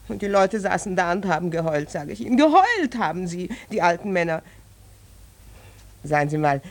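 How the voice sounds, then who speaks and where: An actress playing a chatty, callous working-class housewife in a 1940s German radio drama, blunt and breezy about grim things. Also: female voice, on the low side, close to an everyday voice. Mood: amused, nosy.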